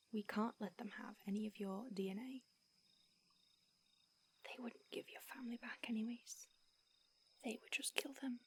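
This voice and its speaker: A woman voicing a calm, centuries-old female vampire mentor in an audio roleplay, speaking in a soft, hushed whisper. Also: a little high, breathy edge.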